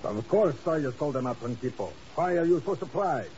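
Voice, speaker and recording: An actor playing a haughty, defiant communist villain with clipped, formal speech in a 1950s radio drama. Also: male voice, on the high side, animated, brisk, over loud music, lightly acted. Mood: scornful, assured. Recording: muffled, hissy old radio recording.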